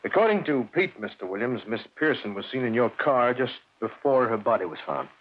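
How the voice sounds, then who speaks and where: An actor playing a sly, probing police interrogator in an old radio drama, with an insinuating, mock-polite manner. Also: male voice, mid-range voice, big pitch swings, fairly quick. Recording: muffled old radio recording.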